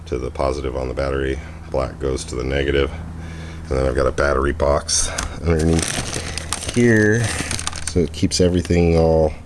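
A man's voice: very deep voice, noisy background. A man giving a chatty, rambling handheld camera tour with lots of ums and uhs. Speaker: vlogger showing his homemade trailer. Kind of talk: casual handheld walkthrough. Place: outdoors at a parking lot, then inside a small trailer.

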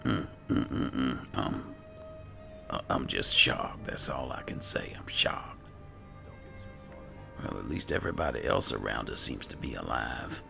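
Un uomo che commenta un videogioco in stile gioco di ruolo, riflettendo ad alta voce nei panni del personaggio, con musica di gioco sotto. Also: male voice, a bass voice, sing-song, unhurried, vocal fry. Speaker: gaming channel host role-playing as an alchemist. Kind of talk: slow, musing in-character game roleplay commentary. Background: game music.

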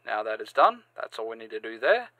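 A man hosting a step-by-step software tutorial, halting and sometimes repeating or correcting himself. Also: lowish voice, big pitch swings, dry recording. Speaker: tutorial host. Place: indoors, screen-recording voice-over.

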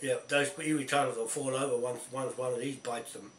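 An older man from New Zealand with a croaky voice and a cough, chatting on a speakerphone in a confident, repetitive way. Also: medium pitch, steady tone, fairly quick. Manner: repetitive, confident, starts and restarts. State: hoarse, coughing. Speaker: group organiser on a phone call. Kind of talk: casual phone chat. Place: cluttered indoor room. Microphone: camera across the room, talking on speakerphone. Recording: roomy, distant, faint noise.

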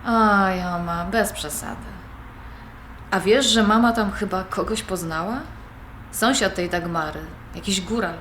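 A woman's voice: mid-range voice, unhurried, fairly bright tone, close to an everyday voice. A young woman playing an independent-minded granddaughter, conversational and questioning, in audio drama dialogue. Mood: lightly upbeat. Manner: conversational, questioning, mildly protesting. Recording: roomy recording with background noise.

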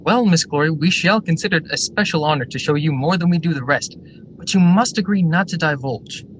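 An actor playing a brisk, eager factory general manager in a radio drama, formal and articulate. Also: male voice, lightly acted. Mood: courteous. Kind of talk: stage-style radio drama acting. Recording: noisy radio drama recording, steady rumble.

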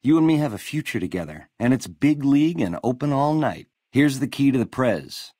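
A slick casino hustler from a video game. He talks in glib fifties lounge slang with cocky swagger. His mid-pitched voice swings up and down freely, recorded dry. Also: big pitch swings, moderate pace, warm tone, no echo. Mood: coaxing, confident.

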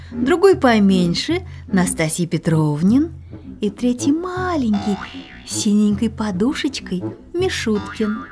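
Animated woman reading a children's tale. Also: mid-range voice. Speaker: storyteller. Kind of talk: expressive children's fairy-tale narration.

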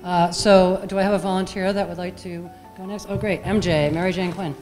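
A woman's voice: on the low side, fairly even intonation, brisk, over music. A middle-aged woman hosting an event, making informal introductions at a podium microphone. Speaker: event host. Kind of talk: informal introductions to a small audience. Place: library meeting room, at podium. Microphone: podium gooseneck microphone.

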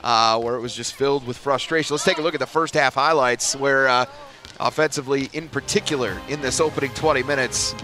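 A man in his fifties, a play-by-play announcer giving a postgame recap from a courtside desk in an arena, speaking into a headset mic in a steady broadcaster delivery. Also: mid-range voice, brisk, soft background music.

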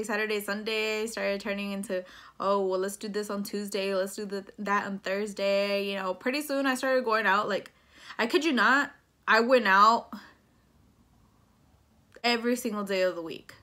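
A woman's voice: medium pitch, fairly even intonation, normal speed, sharp tone. A woman, telling a personal story in a casual, slangy way, slipping between English and Spanish. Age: 20s. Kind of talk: casual storytime chat to camera. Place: small plain room indoors.

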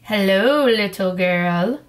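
A woman voicing a sneaky, hungry male wolf in a storybook, speaking slowly in a sly, growly tone. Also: lively intonation. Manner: slow, low, sly growl.

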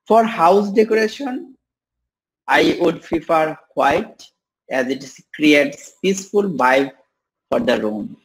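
A young man from Bangladesh, practicing English on a video call with many pauses and fillers. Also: a little high, expressive, poor audio. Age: young adult. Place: small room indoors.

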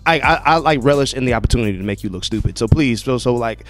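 An American man, a comedian, chatting informally into a handheld microphone, halting and repeating words. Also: medium pitch, faint music behind. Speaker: comedian being interviewed. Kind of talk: casual interview chat. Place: indoors against a brick wall. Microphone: handheld microphone, close.

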